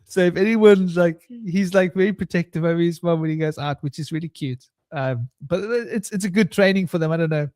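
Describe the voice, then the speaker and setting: A man's voice: high voice, brisk, hardly any pauses, a little mellow, no room sound. A young adult man chatting casually, stammering at the starts of his sentences, fond and talkative. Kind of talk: casual chat.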